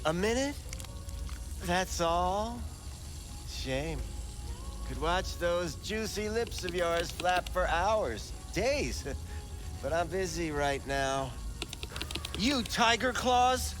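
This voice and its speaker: An actor playing a sleazy, lecherous back-alley implant doctor in a video game, with an oily, leering, theatrical delivery. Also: male voice, sits high, expressive, drawn-out words, long pauses, over music, exaggerated voice. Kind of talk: video game cutscene acting.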